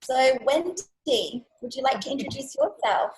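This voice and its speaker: A woman's voice: high-pitched, lively intonation, unhurried, a little mellow, low-quality recording. A woman hosting a panel chat, thanking guests and laughing. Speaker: host.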